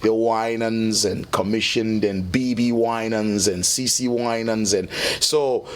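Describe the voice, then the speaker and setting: Low-voiced easygoing Zambian man. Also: steady tone, takes it slowly. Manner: easygoing, peppers speech with "you know". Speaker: musician guest. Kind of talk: relaxed interview storytelling.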